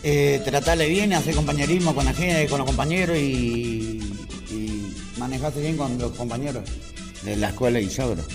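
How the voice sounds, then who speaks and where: A man, a student guest, giving a halting spoken reflection. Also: mid-range voice. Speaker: adult-school student guest. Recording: music clearly behind the voice.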